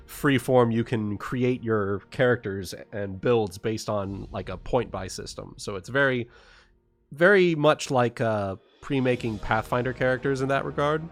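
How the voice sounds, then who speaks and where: A man chatting casually as a livestream host, explaining things in a halting, stop-and-start way. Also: mid-range voice, faint music behind. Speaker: livestream host and game master.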